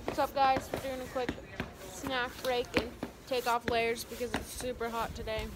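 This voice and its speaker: A teenage girl filming herself on a phone outdoors at a ski area, upbeat and excited. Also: fairly high pitch, normal speed. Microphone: phone at arm's length. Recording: loud wind and outdoor noise.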